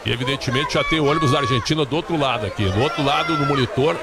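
A man's voice: mid-range voice, racing. A Brazilian man working as a sports broadcast commentator, giving live, unscripted running commentary with frequent tag questions. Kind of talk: live ad-libbed commentary on a team leaving its hotel. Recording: noisy urban crowd ambience. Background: loud crowd outdoors.

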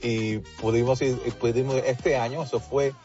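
A man's voice: mid-range voice, fairly even intonation, moderate pace. Dominican male singer, radio guest, chatty with restarts, dull lo-fi line, faint music under. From Dominican Republic. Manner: warm, frequent restarts and "así es". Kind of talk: casual phone interview.

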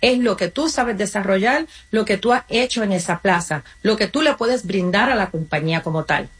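A woman's voice: medium pitch, animated, normal speed. A woman answering interview questions as a community organization guest, explaining her advice with examples and addressing the listener directly. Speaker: guest, community nonprofit representative.

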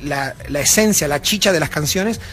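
A man's voice: fairly high pitch, moderate pace, a bit bright, soft background music. A Uruguayan man with a Uruguayan Spanish accent, a band member chatting casually in an interview backstage, rambling with many fillers and restarts. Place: backstage at a music venue.

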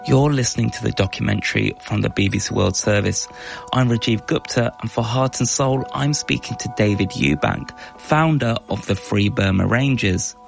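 A man presenting a radio documentary with scripted, measured, clearly phrased narration. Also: lowish voice, soft background music.

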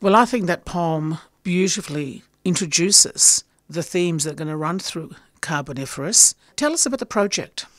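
A woman hosting a community radio poetry show, giving measured, formal introductions from a studio. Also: fairly low pitch, expressive, no echo. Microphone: studio microphone.

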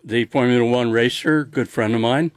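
A man with a mid-pitched voice chats casually on the radio. He is coy and teasing, and the sound is close and dry. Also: normal speed, no room sound. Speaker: radio show contributor.

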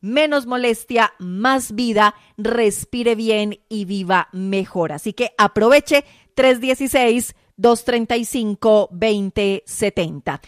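A Colombian woman hosting a radio segment and delivering a sales pitch for health products. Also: slow, no room sound, clean studio sound.

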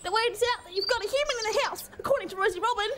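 A gossipy lyrebird speaks in a high, dramatic storybook voice, put on by a woman reading aloud. She sounds like she is passing on ominous gossip. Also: racing, background noise.

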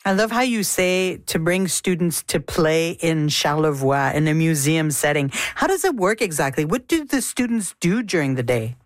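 A woman's voice: low-pitched, sing-song, normal speed, few pauses, dry recording, clean studio sound. A woman hosting a radio interview, asking curious, short follow-up questions.

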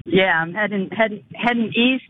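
An older woman from north of Chicago calling into a radio show, talking in a halting way and repeating words. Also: medium pitch, no echo. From northern Illinois. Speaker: caller. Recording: phone line with faint noise.

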